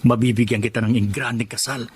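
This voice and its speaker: An actor playing a greedy, manipulative young boyfriend in Filipino melodrama, with a coaxing, cocky, exaggerated delivery and a Filipino accent. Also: male voice, medium pitch, flat delivery, fast talker, breathy edge. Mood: coaxing, scheming. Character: scheming, greedy young man, manipulative sweet-talking boyfriend. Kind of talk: Filipino radio-style melodrama acting.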